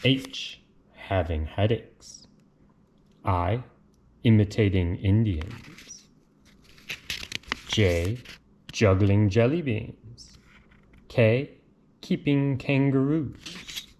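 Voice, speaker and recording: A man with a fairly low voice reads a children's picture book aloud. His delivery is slow and lilting, with a casual touch. There is light noise and clicking in the recording. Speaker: reader of picture books. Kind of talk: read-aloud children's storybook narration with casual asides.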